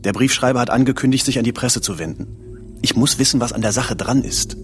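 An actor in a radio play, playing a formal middle-aged boss who confronts an employee in a measured, insistent manner. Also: male voice, medium pitch, close to an everyday voice. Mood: formal, pressing.

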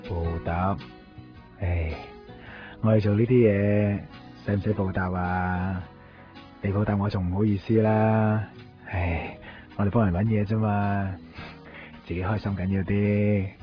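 Actor as deep-voiced, cocky mystery man. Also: level tone, a little slow, natural voice. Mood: breezy, reassuring. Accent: Hong Kong Cantonese. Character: cocky, easygoing, mysterious man who finds lost things. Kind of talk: Cantonese radio drama acting. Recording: dull 1990s radio with music. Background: music.

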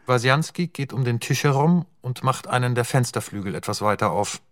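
A man narrating stage directions in a radio drama, reading in a matter-of-fact, even way. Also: medium pitch, a little fast, dry recording, studio quality. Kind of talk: scripted narration.

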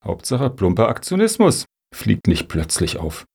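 An actor in a satirical radio play as a sarcastic, grumbling rival applicant with a husky, gravelly voice and a sneering manner. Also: male voice, on the low side, wildly swooping pitch, unhurried, darkish tone, heavily acted. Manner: sneering, sceptical.

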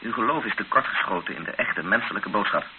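Actor as a bitter, low-voiced hostage in radio drama. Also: male voice, animated, fast talker, close to an everyday voice. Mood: bitter, challenging. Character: bitter, skeptical man held hostage, narrating his ordeal. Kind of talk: radio drama acting and first-person narration. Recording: hissy, narrow-band old radio recording.

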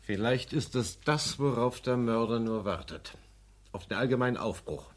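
A man's voice: mid-range voice, moderate pace, close to an everyday voice. A male actor in a German crime radio play, playing a firm, matter-of-fact investigator with an authoritative manner. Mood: insistent, reasoned. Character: brisk, authoritative male investigator loyal to his men.

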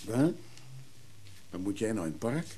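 Radio drama role: teasing, chatty middle-aged brother, mellow and wry, on a slightly noisy recording. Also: male voice, fairly low pitch, very animated, normal speed. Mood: chatty, amused. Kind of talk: naturalistic Dutch radio play acting.

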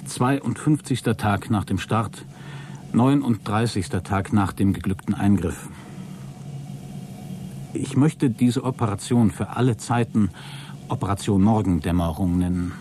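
An actor playing a deluded, exhausted astronaut who keeps a logbook in a radio play, halting, self-justifying and grandiose. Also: male voice, on the low side, animated, dark tone, a little hiss or hum. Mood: solemn, grandiose. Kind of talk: dramatic radio play monologue.

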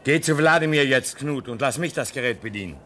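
A man's voice: mid-range voice, brisk, sharp tone, a little hiss or hum, natural voice. A man acting an earnest, comforting, self-denying outpost dweller in a German radio play. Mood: gentle, resolute.

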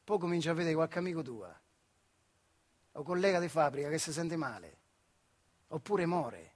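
An actor playing a bitter, weary retired factory worker in a theatrical monologue, with a central Italian accent from the Marche, swinging between muttering and outbursts. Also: male voice, on the high side, animated, moderate pace, slightly rough. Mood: brooding, confiding. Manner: colloquial, rhetorical questions, swings from muttering to outbursts. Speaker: stage actor. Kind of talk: theatrical monologue in dialect-tinged speech.

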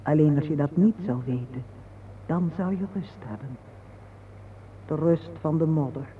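A man acting a sorrowful, patient parent in a radio drama, speaking in a solemn, poetic, measured way. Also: a little high, natural voice. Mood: solemn urging. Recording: narrow phone-like old radio recording, faint hiss.

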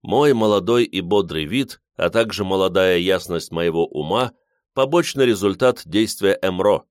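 A man narrating plays a self-assured, eccentric inventor in his sixties from an audiobook. He speaks low, with polite, formal weight, in a dry close recording. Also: normal speed, clean tone, no echo, natural voice. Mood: calm, weighty. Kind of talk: audiobook character dialogue.

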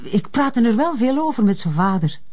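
A woman playing a middle-aged, reserved mother in a crime drama, speaking in a measured, formal way. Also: fairly high pitch, very animated, darkish tone. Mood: guarded, composed. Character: reserved, formal mother of a troubled adult son. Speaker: actor in a detective drama. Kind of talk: naturalistic crime drama dialogue.

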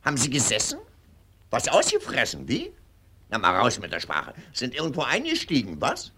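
An actor playing a pompous older colonel in a German radio drama, clipped, condescending and blustering. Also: male voice, medium pitch, huge pitch swings, moderate pace, sharp tone. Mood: brisk, prying. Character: pompous, brisk older colonel and family patriarch.

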